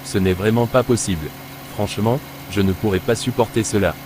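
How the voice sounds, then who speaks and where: A man's voice: on the low side, brisk, background noise, close to an everyday voice. A man voicing a jealous, scheming older brother. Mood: resentful.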